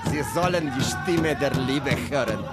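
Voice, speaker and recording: Male actor as proud Apache elder, high-voiced and gruff, bantering, western radio drama with music underneath. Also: moderate pace, close to an everyday voice. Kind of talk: German radio drama acting.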